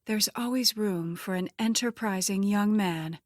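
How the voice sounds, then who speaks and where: A woman's voice: mid-range voice, a little slow, no room sound, clean studio sound, close to an everyday voice. A woman voicing a scheming, threatening female crook in an audiobook, with a taunting, condescending manner. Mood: sly, flirtatious. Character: scheming, threatening, vain woman crime boss's underling.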